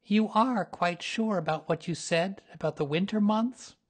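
A man voicing a gentle, simple-minded bear in a storybook reading, hesitant and earnest. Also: sits high, husky, voice pushed up. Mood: puzzled. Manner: hesitant, earnest, slow-spoken.

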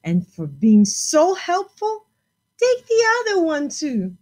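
A woman's voice: sits high, wildly swooping pitch, takes it slowly, mellow, pitched above the performer's own voice, heavily acted. A woman voicing a kindly, huge male giant in an emotive, exclaiming storybook manner. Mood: grateful.

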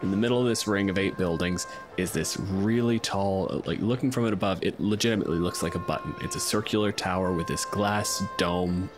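A man with a low voice speaks as a podcast host and game master. He talks casually and builds scenes off the cuff, with faint music in the recording. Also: fairly quick. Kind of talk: casual discussion and improvised tabletop game narration.

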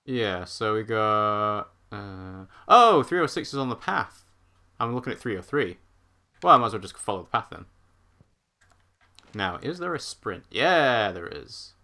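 A man commentating live on a game he plays, chatty and thinking out loud. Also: fairly low pitch, sing-song, measured pace. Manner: chatty, thinks out loud, hums while walking. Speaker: streamer. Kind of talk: live let's-play commentary.

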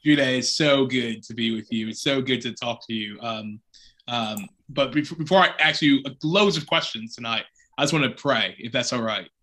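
A middle-aged man interviewing a guest over a video call from a room lined with bookshelves. His voice sits in an ordinary middle pitch, and he sounds friendly and upbeat, with the odd filler. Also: moderate pace, sharp tone. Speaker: interviewer, priest.

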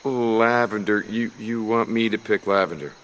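An American actor playing a gruff, wry outlaw biker in a video game, with halting, sardonic delivery. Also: male voice, lowish voice, fairly bright tone, a little hiss or hum, natural voice. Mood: playful. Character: gruff, wry outlaw biker, adult man, guarded but teasing. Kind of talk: naturalistic cinematic game acting.

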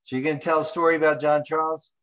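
A man's voice: on the high side, level tone, fast, a bit bright, clear, resonant tone. A man hosting an online training call, talking through a screen share in an informal, rambling way as he thinks aloud, with lo-fi call audio. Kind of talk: casual screen-share presentation.

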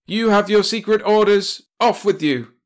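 A man's voice: high voice, normal speed, pitched above the performer's own voice, natural voice. A man voicing a blustering old space-fleet commander in a comic pulp sci-fi audiobook, declaiming pompously and emphatically. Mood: angry command.

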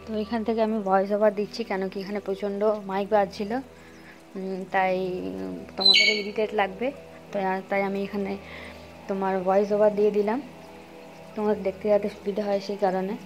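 A woman's voice: mid-range voice, level tone, fairly quick, long pauses, music in the background, low-quality recording. A young woman, talking casually to her viewers from a phone held at arm's length in a small room at home, with English words mixed in. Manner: chatty, addresses viewers directly, mixes in English words. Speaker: vlogger. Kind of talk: casual vlog narration to camera. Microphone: phone camera held at arm's length.

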